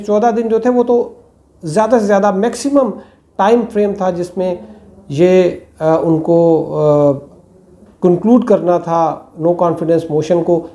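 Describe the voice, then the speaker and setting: A man, giving political commentary to camera in a small room on a lapel mic, measured and full of hesitations. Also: high-pitched, warm tone. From Pakistan. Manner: measured, many hesitations and fillers. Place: small indoor room with plain walls.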